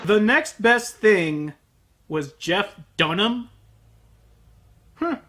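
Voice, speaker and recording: Animated, sarcastic male reviewer. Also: sits high, very slow. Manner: sarcastic, exasperated, profane. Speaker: host of an anime review show. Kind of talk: scripted comedic review narration.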